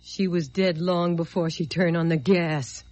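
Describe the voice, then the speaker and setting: A woman's voice: on the low side, fairly even intonation, unhurried, darkish tone, no echo, faint music behind, close to an everyday voice. An actress playing a bitter, hard-boiled young woman out for revenge in an old-time radio drama, tough and world-weary. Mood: bitter, menacing. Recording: dull old radio recording.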